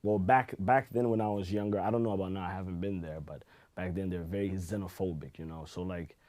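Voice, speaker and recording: A man with a very low voice and a warm, dark tone. He chats casually as a podcast guest, telling stories in an easygoing, rambling way full of "you know" and "like". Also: steady tone, a little fast. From Zimbabwe. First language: Shona. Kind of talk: casual chat.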